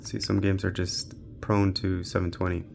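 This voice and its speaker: A man's voice: low voice, level tone, normal speed, creaky, a little mellow, a little hiss or hum. A man giving an unscripted, casual tech walkthrough, thinking aloud informally as he tests games on a handheld. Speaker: tech reviewer hosting a handheld gaming channel.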